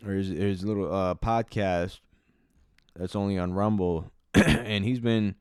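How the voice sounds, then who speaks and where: A man with a lowish, smooth, resonant voice riffs through a casual reaction commentary. He swears freely, stumbles over his words and restarts often. The sound is dry and close. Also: steady tone, unhurried, no echo. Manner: profane, rambling, many restarts. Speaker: commentary channel host.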